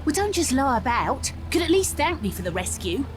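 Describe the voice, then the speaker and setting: An actress playing a tough, sharp-tongued young female rat boat captain with a British accent in a game cutscene. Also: high voice, very animated, a little fast, background noise. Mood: grudging, brisk. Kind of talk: video game cutscene acting.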